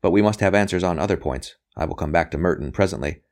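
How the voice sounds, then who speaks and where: A man's voice: sits low, quick pace, darkish tone. A man voicing a relentless official interrogator in an audiobook, insistent and coolly impatient, pressing with clipped questions.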